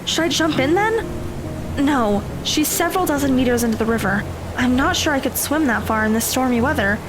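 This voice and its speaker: A woman's voice: sits high, lively intonation, brisk, music in the background, rough recording. A woman playing an anxious, self-questioning teenage girl who frets and thinks aloud to herself in an audio drama. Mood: panicked, urgent. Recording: stormy ambience noise behind voice.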